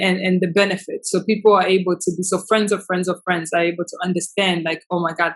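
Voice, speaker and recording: A woman's voice: fairly low pitch, fairly bright tone, low-quality recording. A young woman from Tanzania, a business founder, talking casually in an interview with many fillers and restarts.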